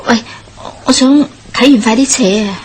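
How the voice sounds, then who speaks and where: Nervy, nagging village woman for Hong Kong Cantonese radio horror, female actor: highish voice, impatient, light ambient noise. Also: normal speed, lightly acted. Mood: uneasy, fretful. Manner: fretful, nagging.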